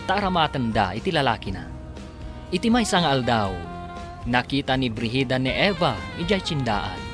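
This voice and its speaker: A man announces a radio serial in a dramatic scripted manner. His mid-pitched voice swings widely over prominent background music. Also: big pitch swings, moderate pace. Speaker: announcer and narrator.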